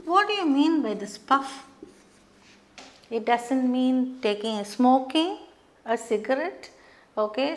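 A middle-aged woman teaching a beginners' lesson at a whiteboard, explaining terms slowly and checking in with frequent "okay". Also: a little high. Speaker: English teacher. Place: studio classroom with whiteboard.

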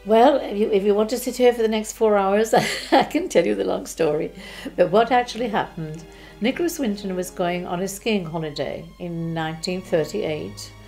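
A woman in her eighties, originally from Czechoslovakia, recalling her childhood gently and unhurriedly, with pauses and restarts. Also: medium pitch, creaky, soft background music. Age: 80s. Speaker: interviewee. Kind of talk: recounting childhood memories. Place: living room, seated in armchair.

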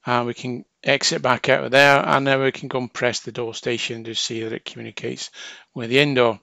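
Male technical trainer, bright and gravelly, close dry sound, informal step-by-step walkthrough delivery. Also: mid-range voice, level tone, fairly quick, no echo. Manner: plain-spoken, step-by-step. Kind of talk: informal hands-on tutorial narration.

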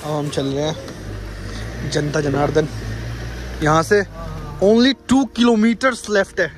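A man's voice: on the high side, steady tone, slow, faint music behind. A young man vlogging a night road trip, chatting with friends and addressing viewers directly. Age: young adult. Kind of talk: casual travel vlog. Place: roadside at night, outdoors. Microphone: phone camera at arm's length.

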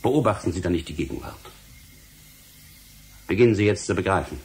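A low-voiced, stern, exasperated official. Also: male voice, animated, brisk, creaky, darkish tone, a little hiss or hum. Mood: grave, triumphant. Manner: rhetorical, emphatic, theatrical. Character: stern, exasperated government official lecturing with grave authority. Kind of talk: German radio play acting.

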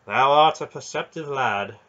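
A man's voice: mid-range voice, unhurried, a bit bright, lo-fi sound, voice pushed up, lightly acted. A man voicing a brooding noble knight who speaks in an archaic, earnest and declaiming way. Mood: troubled, solemn. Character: brooding noble male knight, formal and solemn.

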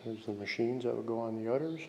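A man's voice: mid-range voice, fast, lo-fi sound, noisy background. A man giving casual exploring commentary in short, musing fragments, recorded on a handheld camera inside a barn. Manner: musing, fragmented remarks while looking around. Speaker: urban explorer vlogger. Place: inside a dark abandoned barn. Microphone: handheld camera mic.

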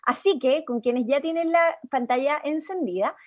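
High-pitched young Chilean woman leading a workshop. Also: normal speed. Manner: encouraging, inviting. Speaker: workshop facilitator. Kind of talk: informal guided workshop talk. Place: indoor room, on a video call. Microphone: video-call computer microphone.

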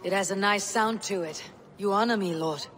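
An actress playing a proud, steadfast Viking woman warrior in a video game, speaking in a measured, formal way. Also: medium pitch, fairly bright tone, a little hiss or hum, lightly acted. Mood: measured, diplomatic. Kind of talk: dramatic game cutscene acting.

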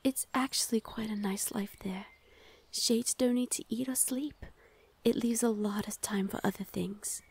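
Breathy, high-voiced gentle fae lady played by a woman. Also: fairly even intonation, unhurried. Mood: warm, comforting. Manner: soothing, breathy, often whispering. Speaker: ASMR roleplay voice performer. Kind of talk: ASMR roleplay.

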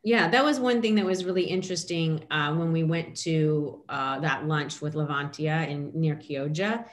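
A middle-aged woman hosting a casual video call chat, talking in a chatty, animated way with lots of asides. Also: deep voice, normal speed. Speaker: host. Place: home room indoors. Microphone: video call, webcam.

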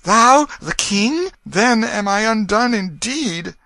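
A man's voice: a little high, expressive, a little slow, bright, forceful tone, no echo, clean studio sound, voice pushed up, heavily acted. A man voicing a humble, earnest young pauper boy in an audiobook, meek and pleading in archaic speech. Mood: stunned dread. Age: child figure. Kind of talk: audiobook character voice.

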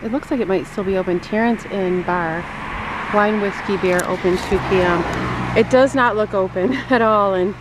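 A woman's voice: mid-range voice, normal speed. A middle-aged woman vlogging casually off the cuff, chatty and musing aloud with wonder. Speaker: rideshare driver and vlogger. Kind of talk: casual off-the-cuff vlog narration. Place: moving car with windows down, later open barn. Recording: noisy handheld camera audio with car and wind.

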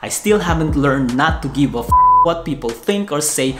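Filipino-accented man, lively, roomy home sound. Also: fairly high pitch, normal speed, over loud music. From Philippines. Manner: candid, blunt opinions. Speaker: tech vlogger. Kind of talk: casual gear review vlog. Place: living room at home.

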